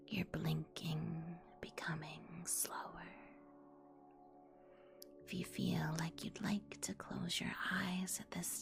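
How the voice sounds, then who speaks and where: Very slow whispering woman, ASMR meditation. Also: fairly low pitch. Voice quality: breathy whisper. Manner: soothing, hushed, instructional with long pauses. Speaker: guided meditation host.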